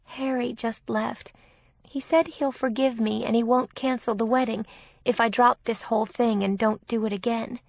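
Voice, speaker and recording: A naive young bride-to-be, acted by a woman narrator, speaks high and tearfully. She pleads and defends herself with stubborn emotion, in a close, dry storybook recording. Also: steady tone, normal speed, vocal fry, no room sound. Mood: upset, guilty.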